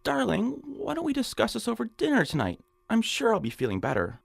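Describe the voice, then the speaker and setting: An actor playing a nervous, hapless hypochondriac in an audio drama, fretful and stammering. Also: male voice, very high-pitched, wildly swooping pitch, fairly quick, creaky, no echo, studio quality, exaggerated voice. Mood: fretful, placating. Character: nervous, whiny nebbish hypochondriac man, eager and hapless. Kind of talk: audio drama acting in old-time radio suspense style.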